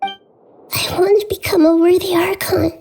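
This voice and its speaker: Small child god, very high pitch, slow hesitant pace, soft and breathy, earnest, lonely, video game drama. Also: female voice, fairly even intonation, a little hiss or hum. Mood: solemn, sad. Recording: music under the voice. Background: game music.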